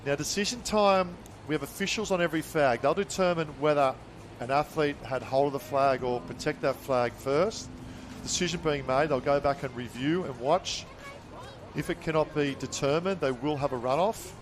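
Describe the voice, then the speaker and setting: A man calling live beach sports as play-by-play commentator, excitable and quick to react to the action. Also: on the high side, background noise. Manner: excitable, calls the action in bursts.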